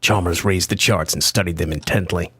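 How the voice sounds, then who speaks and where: An anxious, disapproving companion in a radio horror play, played by a man. His voice is fairly low and rough-edged, and he speaks in earnest, formal, emphatic phrases. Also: steady tone, fairly quick, fairly bright tone. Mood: tense narration. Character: anxious, disapproving male friend and narrator of horror tale. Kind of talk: radio drama acting and narration.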